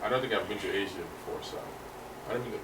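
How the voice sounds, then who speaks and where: A man's voice: lowish voice, fast, a bit bright, far from the mic, slight background noise, rough recording. Bantering Nigerian man, a bit off-mic. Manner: bantering, exclamatory, laughs a lot. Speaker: vlogger's husband, guest. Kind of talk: casual chat.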